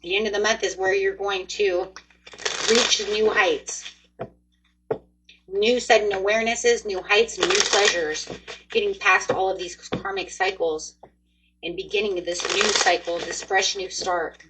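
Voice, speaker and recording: A woman's voice: mid-range voice, steady tone, unhurried, noisy background. A woman in her forties giving a chatty, upbeat tarot card reading, speaking straight to her viewers. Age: 40s. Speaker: tarot reader.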